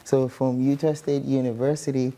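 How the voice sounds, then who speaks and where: A man from Ghana, a guest scientist explaining his research in measured speech with many pauses. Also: medium pitch, little pitch movement, mellow, low-quality recording.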